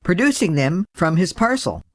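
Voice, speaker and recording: A woman's voice: on the low side, sing-song, normal speed, no room sound. A woman narrating a scripted play, reading out its stage directions.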